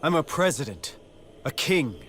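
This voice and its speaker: A man's voice: on the high side, sing-song, unhurried, a bit bright, slight background noise. An actor playing a noble, resolute young king in a video game, speaking with earnest heroic conviction. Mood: solemn resolve. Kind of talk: dramatic video game voice acting.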